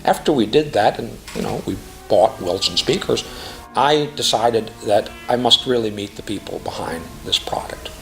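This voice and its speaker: A middle-aged man speaking earnestly and emphatically in a reflective interview testimonial, phrasing deliberately. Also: fairly low pitch, animated, gravelly, soft background music, rough recording. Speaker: classical music professional giving a testimonial.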